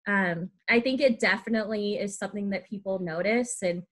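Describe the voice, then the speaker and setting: A woman's voice: medium pitch, fairly quick. A woman in her twenties hosting a podcast, chatting informally and often saying "like" and "y'all". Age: 20s. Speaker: podcast host. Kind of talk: casual chatty interviewing.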